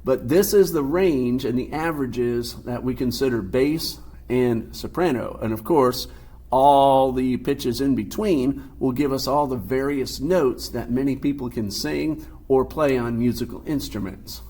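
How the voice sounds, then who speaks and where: A male science teacher with a deep, husky voice lectures through a lesson. He explains each term patiently, one step at a time. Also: a little slow, mellow. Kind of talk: recorded classroom lecture.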